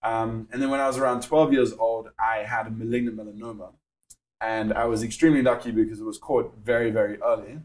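A young man with a South African accent, a startup founder explaining his work in a podcast interview, measured and full of fillers. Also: on the low side, steady tone, a little mellow, low-quality recording.

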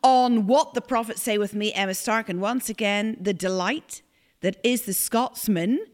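A woman's voice: mid-range voice, moderate pace. A middle-aged woman with an Irish accent, hosting a conversational faith podcast in an emphatic, preacherly way and quoting scripture. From Ireland. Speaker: podcast host.

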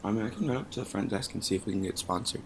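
A man in his early twenties co-hosting a podcast casually, his voice hoarse and worn out, recorded in a hotel room. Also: fairly low pitch, racing, slight background noise. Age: early 20s. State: hoarse from losing his voice, tired. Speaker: podcast co-host. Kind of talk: casual pop culture podcast chat.

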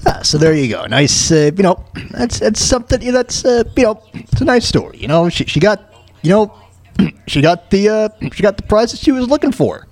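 Guy doing radio talk, highish voice that moves around a lot, stammers and repeats himself, blunt opinions. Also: very animated, no echo. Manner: halting, stammering, repeats phrases. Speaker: talk show host. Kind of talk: casual off-the-cuff radio commentary.

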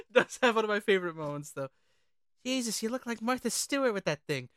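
Podcast host chatting about movies, crisp bright voice, swears a bit, pretty animated, dry sound. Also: medium pitch, normal speed, dry recording. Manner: animated, informal, profane. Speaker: podcast co-host reviewing horror films. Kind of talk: casual film discussion.

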